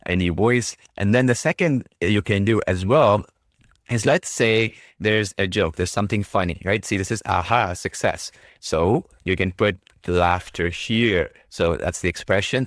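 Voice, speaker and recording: A man casually walking through a software demo, upbeat and breezy. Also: dry recording, studio quality. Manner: upbeat, breezy, says "boom" often. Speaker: product demo host. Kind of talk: casual screen-recorded walkthrough.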